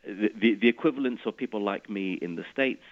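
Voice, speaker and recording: A man's voice: fairly low pitch, a little fast, narrow, tinny audio. A middle-aged man from Iraq, a physicist guest, explaining things in an interview and often restarting and repeating words.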